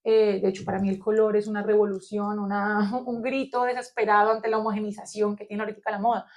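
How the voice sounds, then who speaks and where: A Colombian woman with a Colombian accent, co-hosting a philosophy discussion and thinking aloud in an animated way, with many fillers. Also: mid-range voice, quick pace. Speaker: podcast co-host. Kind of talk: philosophical round-table discussion.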